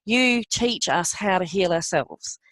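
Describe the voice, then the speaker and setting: A middle-aged woman, a guest giving an earnest personal account, often pausing with ums. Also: fairly low pitch, a bit bright, dry recording. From Australia. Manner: earnest, halting with many ums. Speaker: interview guest. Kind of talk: personal testimony.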